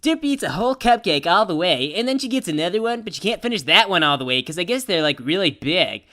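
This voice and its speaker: Woman voicing a bright, brash, animated young cartoon pony storyteller. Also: on the low side, quick pace. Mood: animated, irreverent. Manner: childishly simple phrasing mixed with casual, sardonic slang. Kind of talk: irreverent storybook narration with slangy asides.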